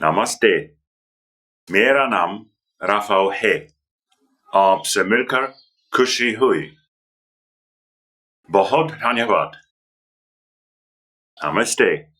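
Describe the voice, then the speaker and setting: Very slow-speaking man with a bright voice. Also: on the low side, expressive. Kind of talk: reciting phrases from a language being learned.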